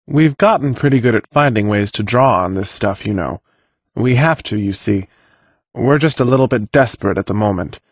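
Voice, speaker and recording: A man's voice: lowish voice, expressive, normal speed, dry recording. An actor playing a patient young male mage in a fantasy audiobook, explaining things informally. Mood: patient, frank.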